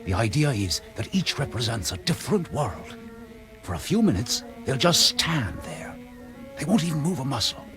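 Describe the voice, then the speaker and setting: A man's voice: mid-range voice, very animated, moderate pace, lightly acted. An actor in a radio drama playing a tense, knowing male schoolteacher, explaining with dramatic urgency. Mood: intense, explaining. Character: tense, knowing schoolteacher guiding a companion through danger. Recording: music under the voice.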